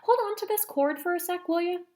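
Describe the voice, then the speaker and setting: A woman's voice: very high voice, brisk, darkish tone, pitched above the performer's own voice. A woman voicing an exasperated, no-nonsense mother in a children's storybook. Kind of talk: children's storybook read-aloud character voice.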